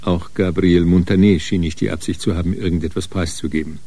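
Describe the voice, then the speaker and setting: A man narrating a radio crime drama in a measured, matter-of-fact way. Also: low-pitched, steady tone, warm tone. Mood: sober. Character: sober crime story narrator.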